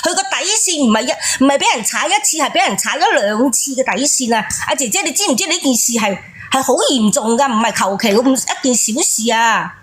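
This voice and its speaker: A woman's voice: high-pitched, lively intonation, a little fast, few pauses, a bit bright, a little hiss or hum. A woman co-hosting a call-in show, giving unscripted advice in an insistent, scolding way and repeating her points. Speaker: co-host of a relationship call-in show.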